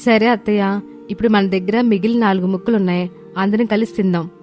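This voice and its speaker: A woman's voice: medium pitch, steady tone, fast, darkish tone, soft background music, close to an everyday voice. A woman playing a respectful, earnest young daughter-in-law in a village cartoon moral story. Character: hard-working, polite young village daughter-in-law selling food. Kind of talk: dramatised moral story dialogue.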